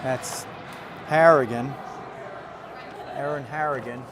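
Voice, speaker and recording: A man's voice: medium pitch, steady tone, takes it slowly. A man doing live play-by-play commentary on ice hockey in clipped running calls of the action. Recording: loud crowd and rink noise. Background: crowd and rink noise.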